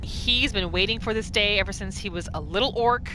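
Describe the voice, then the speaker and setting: A woman hosting a gaming video, narrating a roleplay in an excited, conversational storytelling way. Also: medium pitch, brisk, noisy background. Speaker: host of a gaming video series. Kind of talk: lively narrated roleplay let's play. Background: background music.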